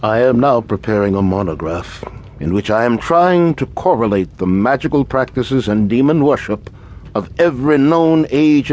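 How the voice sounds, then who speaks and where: Radio drama occultist, played by a man: learned employer, secretive, measured, formal diction. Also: medium pitch, a little mellow, lightly acted. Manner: formal, erudite, measured speech. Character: scholarly, secretive male occultist, nervous employer.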